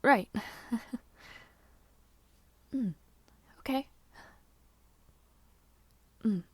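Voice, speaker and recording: A woman playing a gentle, modest teenage noblewoman in a fantasy audio roleplay, speaking with hesitant, earnest little stammers. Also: fairly high pitch, very slow, slightly rough, dry recording, heavily acted. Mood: flustered, hesitant. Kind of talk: fantasy audio roleplay acting, intimate one-sided dialogue.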